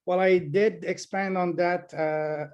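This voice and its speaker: A man's voice: sits high, fairly even intonation, takes it slowly, lo-fi sound. A middle-aged man from Afghanistan answering interview questions about his childhood, with many fillers and restarts. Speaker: podcast host being interviewed.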